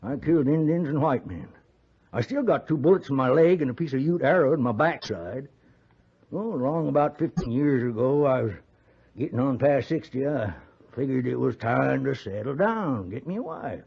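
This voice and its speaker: A man's voice: mid-range voice, lively intonation, moderate pace, vocal fry, breathy edge. An actor playing a spry, boastful old frontiersman with a folksy American Western drawl, in a 1950s radio Western. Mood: reminiscing, proud. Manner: folksy drawl, rambling, chuckling, chatty. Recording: dull, hissy 1950s radio recording.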